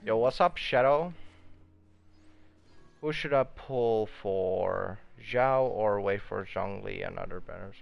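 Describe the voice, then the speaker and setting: A man's voice: fairly low pitch, lively intonation, takes it slowly, creaky, muffled recording, faint music behind. A man chatting casually as he streams a video game, laid-back and prone to swearing. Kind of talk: casual chat while playing a video game.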